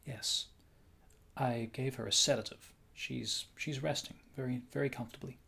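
A man playing a flustered but rational doctor in a radio horror drama, stammering and halting as he urges. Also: mid-range voice, steady tone, creaky, bright, forceful tone, slightly rough, heavily acted. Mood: reassuring, hushed. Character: flustered male doctor, family friend, rational but rattled. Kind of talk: old-time radio horror acting.

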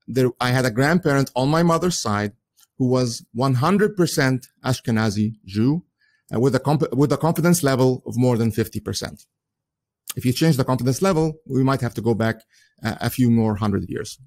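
A man's voice: mid-range voice, darkish tone. A man whose first language is Arabic, giving an earnest solo commentary in English with frequent chuckles and many "uh"s and restarts.

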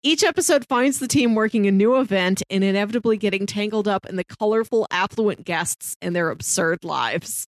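A woman co-hosting a podcast, chatting casually and pitching shows to her co-host. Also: normal speed, few pauses, dry recording.

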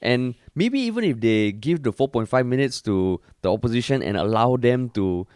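Blunt, animated Singaporean man, podcast banter. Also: medium pitch, moderate pace, clear, resonant tone, no echo, studio quality. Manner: animated, blunt, swears casually. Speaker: podcast co-host. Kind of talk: casual chat.

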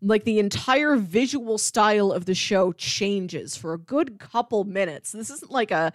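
A woman co-hosting a podcast, casually retelling scenes like an animated storyteller. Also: mid-range voice, unhurried, no room sound, studio quality. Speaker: podcast co-host.

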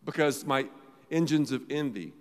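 A middle-aged pastor preaching a sermon, emphatic, repeating phrases, swinging between loud and quiet. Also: male voice, mid-range voice, animated, moderate pace. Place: large church auditorium. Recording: long hall reverb.

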